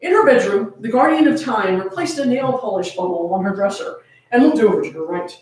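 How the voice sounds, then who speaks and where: A woman's voice: medium pitch, lively intonation, brisk, darkish tone. A woman reading a story aloud theatrically and bantering casually between passages. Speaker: co-host of a fan fiction reading. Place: echoey room. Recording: very echoey room, distant from the mic.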